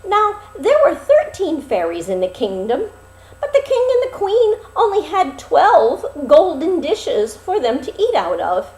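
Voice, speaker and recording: A woman narrating a fairy tale expressively, with dramatic, deliberate phrasing and pauses. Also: very high-pitched, wildly swooping pitch, a little mellow. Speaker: storyteller. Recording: roomy, echoing sound.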